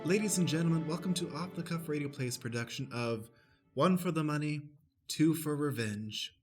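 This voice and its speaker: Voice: mid-range voice, normal speed. Announcer narrating over prominent music. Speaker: stage manager and narrator. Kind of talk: scripted-sounding announcing of scene directions.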